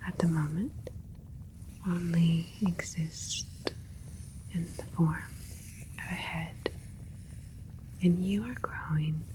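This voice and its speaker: A woman playing a gentle alien scientist in a whispered ASMR sci-fi roleplay, explaining things in a soothing, clinical way. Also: low voice, a little hiss or hum, rough recording. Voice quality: breathy whisper throughout. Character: gentle alien scientist doctor, proud of a hybrid creation.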